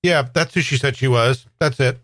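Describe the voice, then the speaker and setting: A man's voice: mid-range voice, moderate pace, fairly bright tone, no echo. A man playing his character in a tabletop role-play game, talking plainly and a little unsure. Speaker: player.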